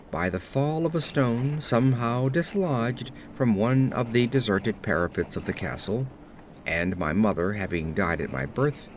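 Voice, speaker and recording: Man acting aged aristocrat, melancholy, formal literary storytelling, gothic audio drama, expressive intonation, faint atmospheric background. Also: medium pitch, a little hiss or hum. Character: melancholy aged French nobleman recounting his accursed life. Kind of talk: scripted gothic horror narration.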